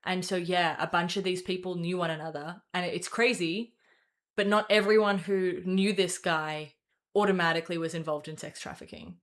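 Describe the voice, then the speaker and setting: A woman's voice: on the low side, fairly even intonation, moderate pace. Rambling, swearing young woman commentator. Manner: rambling, swearing, self-correcting asides. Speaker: political commentator and host. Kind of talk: casual opinion commentary to camera.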